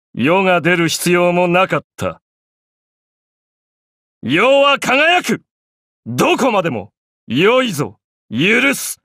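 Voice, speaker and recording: A man's voice: high voice, fairly bright tone, no room sound, studio quality, full character voice. An actor playing a proud, imperious young pharaoh and godlike sun king in an anime game, speaking in grandiose, archaic phrases. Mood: haughty. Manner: pompous, grandiose, archaic speech.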